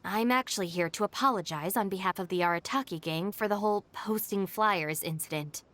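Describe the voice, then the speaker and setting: An actress voicing a composed, courteous young gang deputy in a video game, measured and polite. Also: female voice, medium pitch, fairly bright tone, close to an everyday voice. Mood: apologetic.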